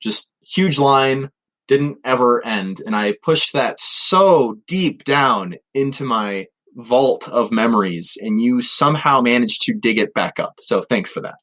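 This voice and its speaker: A man's voice: mid-range voice, lively intonation, a little slow, pushed and bright, low-quality recording. A man co-hosting a podcast with casual, joking banter and exaggerated emphasis. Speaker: podcast co-host.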